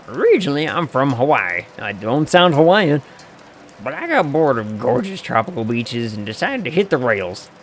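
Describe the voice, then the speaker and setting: A man voicing a friendly, teasing hobo drifter with a folksy, unhurried way of talking, reading a game character's lines aloud. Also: mid-range voice, sing-song, a little hiss or hum, lightly acted.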